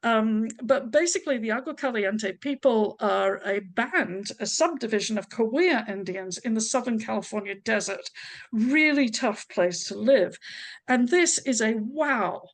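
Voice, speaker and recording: A woman's voice: fairly high pitch, animated, a little slow. A woman from Scotland with an English accent, a historian giving a chatty, opinionated talk full of asides. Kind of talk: informal talk.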